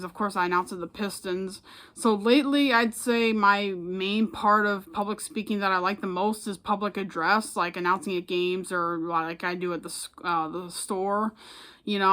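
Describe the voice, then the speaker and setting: An American woman talks casually to the camera, as a vlogger would. Her delivery is informal and rambling, with a modest, self-effacing way of putting things. Her pitch sits in the middle for a woman. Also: pushed and bright. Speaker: public address announcer and vlogger.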